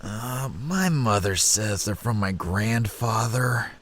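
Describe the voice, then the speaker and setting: A man's voice: fairly low pitch, slow pace. An actor playing a curious, earnest young man of mixed blood in a fantasy audio drama. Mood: curious, reserved.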